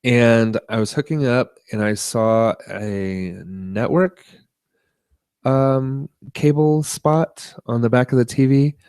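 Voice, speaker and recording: A man co-hosting a podcast, chatting casually about tech and media in a halting, rambling way. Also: mid-range voice, darkish tone, clean tone, no echo, studio quality. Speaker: podcast co-host.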